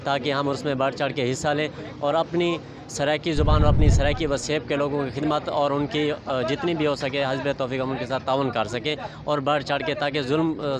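A man's voice: mid-range voice, level tone, a little fast, crowd noise. A man in his twenties from southern Punjab in Pakistan, giving an interview statement as a party member. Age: 20s. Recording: very noisy event, handheld microphone.

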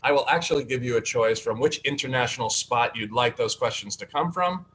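A man's voice: mid-range voice, brisk, fairly bright tone, rough recording. An upbeat, chatty man hosts a casual talk show over a webcam from a home study with bookshelves. He rambles with plenty of fillers and friendly asides. A faint background hiss sits under his mid-range voice. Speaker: host and candidate. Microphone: video call webcam.

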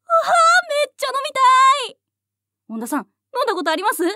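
An actress playing a clueless, endlessly energetic young female new hire who talks loudly and bluntly. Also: very high-pitched, fast, dry recording, exaggerated voice. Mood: excited. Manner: loud, blunt, chirpy.

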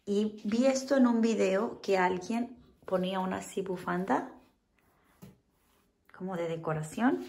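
Home-decor vlogger, woman, relaxed and chatty, lots of little fillers, slight fry in the voice. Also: mid-range voice, unhurried, long pauses. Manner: chatty, full of diminutives and fillers. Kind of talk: casual how-to chat. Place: at home indoors.

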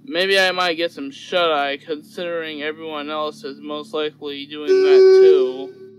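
A man's voice: on the high side, flat delivery, a little slow, close to an everyday voice. A man playing a young, easily panicked ship crewman on night duty in a horror game, with a plain, hesitant delivery. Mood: bored, drowsy. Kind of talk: indie horror game cutscene voice acting. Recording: clearly noisy amateur microphone recording.

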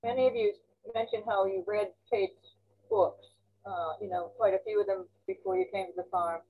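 A woman's voice: mid-range voice, steady tone, moderate pace, breathy edge, phone-quality sound. A woman talking informally and reflectively during a group video call. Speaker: former member of a women's arts colony.

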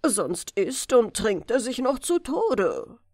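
Woman reading a grave, insistent royal physician, lively pitch. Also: takes it slowly, dry recording, clean studio sound, heavily acted. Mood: alarmed. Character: earnest male royal physician warning gravely.

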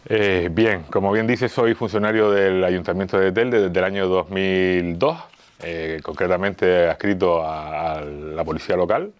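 A man of about fifty with a Canarian accent and a fairly low voice answers interview questions. He pauses to find his words and often repeats a phrase before going on. The sound is slightly dull. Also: clear, resonant tone. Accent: Canarian Spanish. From Las Palmas, Canary Islands. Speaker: interview guest, local police officer and heritage enthusiast. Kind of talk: interview answers, explanatory talk.

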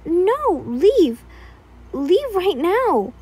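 A woman's voice: high voice, a little slow. A woman voicing a hurt, sobbing young person. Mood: defensive shouting. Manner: sobbing, defensive, shouting. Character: scared, hurt young person pushing a friend away.

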